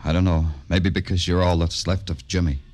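An actor playing a world-weary American police detective in a 1950s radio crime drama. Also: male voice, low voice, flat delivery, brisk, a little mellow, slight background noise, close to an everyday voice. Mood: guarded. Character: world-weary, hard-boiled male New York police detective. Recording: vintage radio broadcast, music behind.

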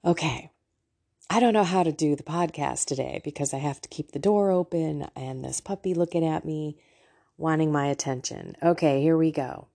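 A woman's voice: sits low, normal speed, creaky, mellow. A woman with a scratchy voice from allergies, hosting a devotional podcast from her room, chatting earnestly before reading scripture. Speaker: podcast host.

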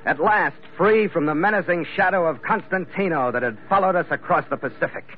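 A man's voice: a little high, brisk, a little hiss or hum, close to an everyday voice. Man playing a confident old-time radio sea captain. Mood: satisfied, relieved. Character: seafaring ship's captain narrating his adventures, confident. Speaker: actor narrating and playing the lead. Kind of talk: 1940s radio adventure acting. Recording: dull old radio recording, loud music behind.

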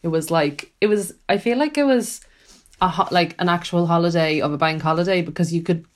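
A woman's voice: lowish voice, brisk. A young woman co-hosting a podcast, stuffy with a cold, bubbly and laughing as she chats. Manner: bubbly, laughing, filler-heavy. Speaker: podcast co-host. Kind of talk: casual chat.

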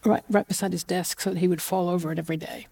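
New Zealand woman, warm and gravelly. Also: on the low side, quick pace. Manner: relaxed, frequent fillers and restarts, light laughs. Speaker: guest, genetic genealogist. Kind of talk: casual interview.

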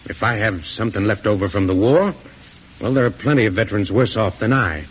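A man acting an earnest, proud young war veteran in mid-century radio drama, formal and deferential, with a slightly husky voice. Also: fairly low pitch, animated, a little fast. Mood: pleading. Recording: muffled old radio broadcast.

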